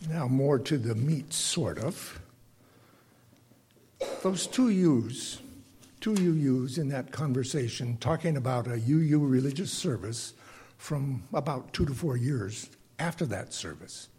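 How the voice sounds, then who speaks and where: An older man with a bass singing voice gives a reflective, prepared lay sermon to a congregation, speaking deliberately and haltingly with pauses. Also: animated, warm tone, slight background noise. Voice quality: self-described bass voice.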